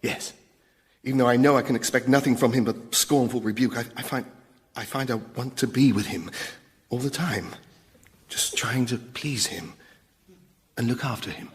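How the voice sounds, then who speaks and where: Radio sitcom demon, male actor: apologetic, lovesick torturer, stammering confidences, mid-pitched, set in long echoing reverb. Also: moderate pace, pauses often, natural voice.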